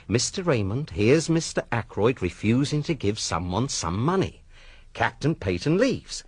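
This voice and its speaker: An actor playing a confident, matter-of-fact police inspector in a radio drama, explaining in a cocksure, orderly way. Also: male voice, medium pitch, slightly rough, no echo.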